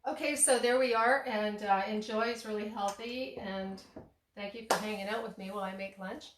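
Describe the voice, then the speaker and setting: A woman talks her way through making lunch in an echoey home kitchen, circling back on herself with ums and restarts. Her voice sits in a middle range and is a touch hoarse. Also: medium pitch, steady tone, moderate pace, heavy background noise. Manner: rambling, many restarts and fillers; laughs at herself. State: slightly hoarse, losing voice. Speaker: tea shop owner and cooking vlogger. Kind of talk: casual kitchen demo chat.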